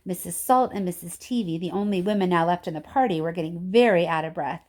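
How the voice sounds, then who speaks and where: A woman's voice: fairly low pitch, fast talker, darkish tone. A woman narrating a children's book expressively, like a teacher reading aloud. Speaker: story reader, teacher.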